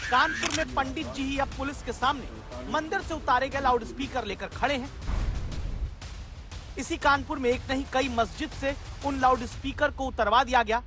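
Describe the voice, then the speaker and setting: A woman's voice: high-pitched, lively intonation, moderate pace. A woman presenting a dramatic, scripted news report. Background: loud music bed.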